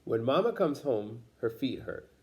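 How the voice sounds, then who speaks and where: A man reads a picture book aloud for children in a medium-pitched voice. He narrates the story in simple phrases, as a read-aloud storyteller does for young listeners. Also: very animated, measured pace, a little mellow. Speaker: storybook reader.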